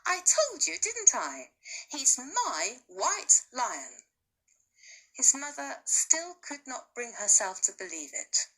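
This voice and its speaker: A woman teacher reading a children's novel aloud to her class with expressive storytelling. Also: mid-range voice, husky, poor audio.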